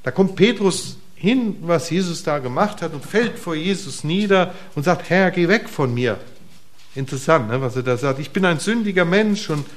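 A middle-aged man preaching a casual sermon to a congregation in a church, asking them questions and swinging between emphatic bursts and quiet, soft asides. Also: a little high, expressive, normal speed. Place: church hall before a congregation.

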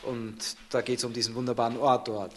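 A man's voice: medium pitch, little pitch movement, brisk. A man interpreting a sermon live, turning each short phrase into a fuller sentence right after the speaker.

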